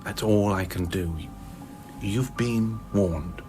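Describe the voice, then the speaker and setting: An actor playing a haunted, cagey scientist in a radio play, halting and ominous, with a breathy, half-whispered voice. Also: male voice, lowish voice. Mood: ominous, wary. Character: haunted, cagey male scientist giving cryptic warnings. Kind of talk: radio drama acting. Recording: music playing behind the voice.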